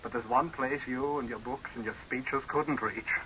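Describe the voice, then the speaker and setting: A man's voice: mid-range voice, steady tone, normal speed, pushed and bright, natural voice. Through the hiss of a muffled 1930s radio horror play comes a man acting as a vengeful citizen rebelling against a tyrant. His voice is piercingly tense and hard-edged, with a bitter, impassioned, melodramatic delivery. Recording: hissy, tinny-dull 1930s radio recording.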